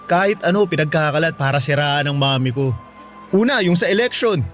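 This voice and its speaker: High-voiced, blunt young man. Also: expressive, a little fast, fairly bright tone, soft background music. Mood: exasperated, blunt. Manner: exasperated, blunt, mixes in English slang. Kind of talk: Tagalog radio drama acting.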